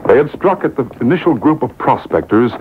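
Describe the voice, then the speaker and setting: A man narrating an old radio drama from a script, dramatic and deliberate. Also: medium pitch, expressive. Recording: noisy, tinny radio broadcast taped off air.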